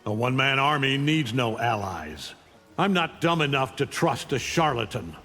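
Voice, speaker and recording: A man's voice: medium pitch, expressive, moderate pace, pushed and bright, big-room sound, soft background music. A man voicing an arrogant, menacing alien conqueror in a video game, with a contemptuous, commanding manner. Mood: dismissive. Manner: contemptuous, taunting, coldly commanding. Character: arrogant, menacing alien conqueror, a middle-aged superhuman father. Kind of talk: video game voice acting, fight intro taunts.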